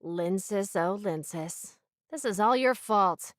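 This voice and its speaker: A woman voicing a grumbling, sharp-minded female pirate in an anime video game, reproachful and matter-of-fact. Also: medium pitch, slow pace, no room sound, clean studio sound, close to an everyday voice. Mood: resentful.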